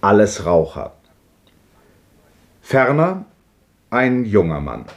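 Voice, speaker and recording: A man reading the stage directions of a 1950s radio play in a measured, descriptive way. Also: fairly low pitch, big pitch swings. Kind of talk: 1950s radio drama narration. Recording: archive radio broadcast.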